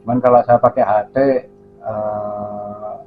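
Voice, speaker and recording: A man's voice: fairly low pitch, level tone, a little slow, faint music behind. A man chatting casually and a little hesitantly as an amateur radio operator on a two-way radio. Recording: narrow phone-like sound, faint hum.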